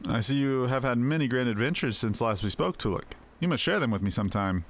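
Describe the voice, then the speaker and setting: A man voicing a courteous, gentle blind man in a fantasy game, measured and reflective. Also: mid-range voice, animated, lightly acted. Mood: genial, musing.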